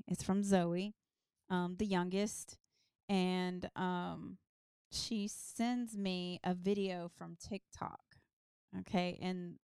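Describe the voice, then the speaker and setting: A woman's voice: on the low side, clean tone, no room sound, studio quality. A woman guest on a podcast, telling a long story in casual chat, full of ums and side stories.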